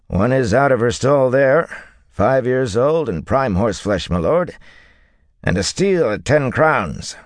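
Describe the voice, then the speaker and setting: A man's voice: mid-range voice, lively intonation, a little slow, no room sound, pitched above the performer's own voice, lightly acted. A man voicing a wiry, shrewd stable-keeper in an audiobook, with a deferential, bland salesman's patter. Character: wiry, leathery, shrewd male stable-keeper selling horses. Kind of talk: audiobook character dialogue.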